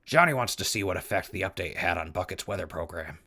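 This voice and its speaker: A man's voice: sits low, expressive, fast talker, gravelly, sharp tone, full character voice. A man playing a wasteland traveler who narrates his crew's journey conversationally in an audio drama. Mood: reflective, easygoing. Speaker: narrator and crew leader.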